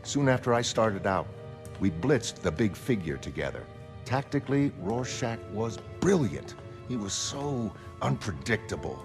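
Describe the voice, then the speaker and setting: A man's voice: fairly low pitch, big pitch swings, measured pace, music in the background. A man voicing an earnest, reflective middle-aged retired costumed hero in a dramatic comic-book reading. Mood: reflective, troubled.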